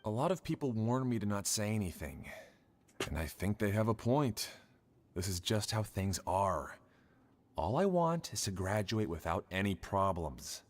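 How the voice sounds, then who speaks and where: A man's voice: lowish voice, sing-song, moderate pace. A man voicing a weary young male student in a video game, speaking in a resigned, subdued way.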